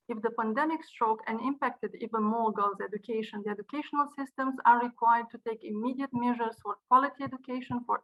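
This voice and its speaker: Woman, Romanian accent, slightly high pitch; earnest, measured prepared address by video call. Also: bright, forceful tone, rough recording. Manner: earnest, measured delivery with occasional restarts. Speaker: university director giving a speech. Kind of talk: prepared speech.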